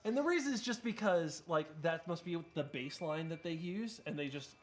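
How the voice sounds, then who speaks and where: A man's voice: a little high, animated, brisk. A man co-hosting a charity gaming stream, telling a long story in an easy, explaining way. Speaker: marathon co-host. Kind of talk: casual chat, telling a story.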